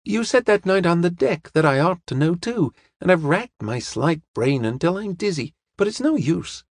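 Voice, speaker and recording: A man's voice: high voice, sing-song, moderate pace, no echo, clean studio sound, pitched above the performer's own voice, full character voice. A man voicing an eager, playful young American woman in an audiobook, with a breezy, informal way of talking. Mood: eager, puzzled.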